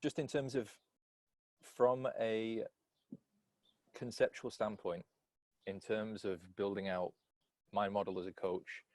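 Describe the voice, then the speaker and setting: Man, deep voice, dry sound, measured phrasing, reflective, wordy, conversational coach. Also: fairly even intonation, darkish tone, dry recording. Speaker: coach asking questions on a call.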